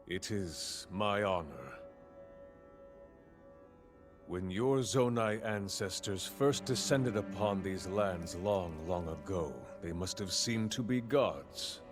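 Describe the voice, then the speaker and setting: An actor playing a scheming, falsely courteous desert king in a game cutscene, speaking slowly and deeply. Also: expressive. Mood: silky menace. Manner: slow, deep, falsely courteous. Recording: game cutscene over music.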